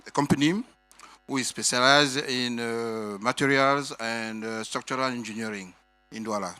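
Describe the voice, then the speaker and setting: Man from Cameroon, civil engineer, crisp bright voice, dry sound, halting. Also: medium pitch, no room sound, soft background music. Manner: slow, halting with fillers. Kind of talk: self-introduction on a panel.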